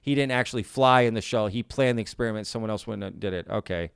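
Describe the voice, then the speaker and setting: A man's voice: lowish voice, fast talker, gravelly, clean tone, dry recording, clean studio sound. A male live stream host in a casual Q&A, talking in a laid-back, folksy way, often saying y'all and reading out viewer comments. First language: bilingual Spanish and English.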